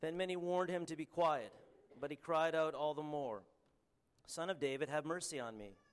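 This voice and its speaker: A man with a Canadian accent preaching a sermon and reading scripture, earnest and halting, with frequent ums and restarts. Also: fairly high pitch, clean tone.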